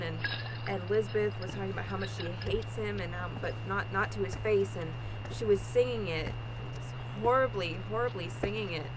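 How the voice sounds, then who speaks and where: An actress voices an anxious young woman fugitive in an improvised radio play. She stammers and rambles in a frazzled way. There is clear noise behind her. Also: medium pitch, natural voice. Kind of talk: improvised old-time radio play acting.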